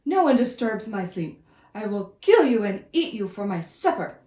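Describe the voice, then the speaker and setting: A woman voicing a huge, grumpy male lion, the proud king of the beasts, who talks loud and scornful. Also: medium pitch, sing-song, moderate pace, reverberant, close to an everyday voice. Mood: furious.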